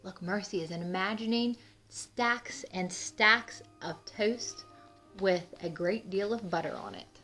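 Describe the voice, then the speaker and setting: Bright-voiced woman reading a children's storybook. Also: medium pitch, slow pace. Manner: expressive, addresses children directly. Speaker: children's book read-aloud narrator. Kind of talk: storybook narration with questions to young listeners. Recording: music under the voice. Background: music.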